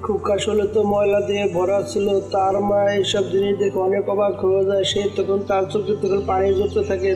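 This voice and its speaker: A man's voice: high voice, completely flat delivery, a little fast, hardly any pauses. A man narrating a children's cartoon story as the storyteller. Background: music.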